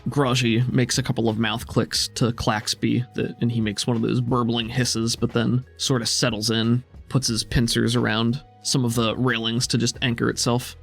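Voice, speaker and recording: A man's voice: medium pitch, little pitch movement, moderate pace, creaky, few pauses, soft background music. A man running a tabletop game, improvising descriptive narration in a conversational way. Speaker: game master.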